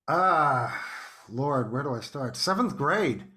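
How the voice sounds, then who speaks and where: A middle-aged or older American man from New York City, chatting casually as an interview guest and telling anecdotes in an animated way. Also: medium pitch, takes it slowly. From New York City, United States. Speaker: podcast guest, playwright.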